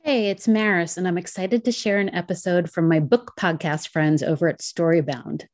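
A woman's voice: fairly low pitch, warm tone. A woman podcast host reading a scripted introduction to a guest episode.

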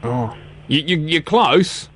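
A man's voice: a little high, expressive, slow, a bit bright, breathy edge. A man with a New Zealand accent chatting casually on the radio, patient, often restarting his phrases. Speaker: radio presenter, now interview guest.

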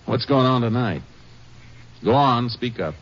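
A man's voice: mid-range voice, animated, measured pace, a bit bright. An actor playing a seasoned, fatherly but firm Texas Ranger, plain-spoken in the manner of a 1950s radio western. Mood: stern. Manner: folksy, firm, questioning. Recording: muffled, noisy 1950s radio recording.

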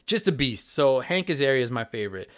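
A man's voice: mid-range voice, big pitch swings, quick pace. A man in his thirties hosting a casual chat show, answering listener letters in a candid, profane, rambling way with lots of restarts.

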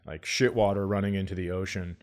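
A man hosting a comedy podcast, telling stories in an animated, grumbling, sweary way and acting out quotes. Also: sits low, brisk. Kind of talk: comic storytelling in casual podcast chat.

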